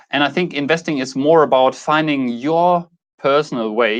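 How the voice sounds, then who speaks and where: A man in his thirties speaks English with a German accent. His pitch sits in an ordinary middle range for a male voice. He talks casually, as a YouTuber does to viewers, feeling his way through sentences and often rewording them. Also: fairly bright tone. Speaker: YouTube host. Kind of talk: casual talk to camera, introducing a channel.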